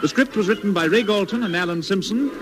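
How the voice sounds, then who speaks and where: Casting: formal man with an RP accent for 1950s radio credits, high voice over clear music. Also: fast. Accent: British Received Pronunciation. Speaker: radio announcer. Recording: vintage radio recording.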